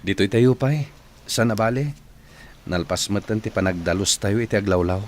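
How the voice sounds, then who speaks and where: A man's voice: on the low side, normal speed, slight background noise, close to an everyday voice. A man with an Ilocano Filipino accent playing a modest young trainee in a radio drama. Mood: matter-of-fact. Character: modest young male trainee named Alfred.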